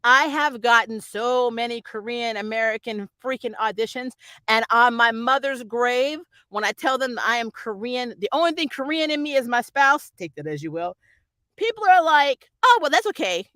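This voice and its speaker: A woman's voice: on the high side, very animated, normal speed, sharp tone, no echo. A middle-aged woman chatting casually and off the cuff as a review host, rambling and candid, swearing freely and often chuckling. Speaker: host of a TV and film review channel; actor who auditions. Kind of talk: casual unscripted livestream chat.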